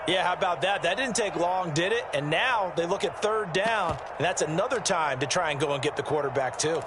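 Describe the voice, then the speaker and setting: A man's voice: fairly high pitch, expressive, a little fast, background noise, lightly acted. A man voicing an adult football color commentator in a video game, breaking down plays in a chatty, explanatory way. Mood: analytical. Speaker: color analyst. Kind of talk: video game sports analysis.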